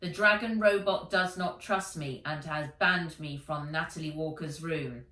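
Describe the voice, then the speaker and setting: A woman's voice: deep voice, a little slow, pushed and bright. Woman putting on a smarmy, formal robot butler in echo. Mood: stiffly formal. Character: smarmy male android horse butler, formal and servile. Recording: roomy, distant from the mic.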